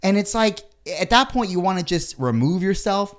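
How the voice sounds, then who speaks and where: A man's voice: high-pitched, animated, normal speed. A man hosting a podcast, chatting casually and rambling with fillers and the odd swear word, recorded on a studio microphone. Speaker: podcast host. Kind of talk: casual interview chat.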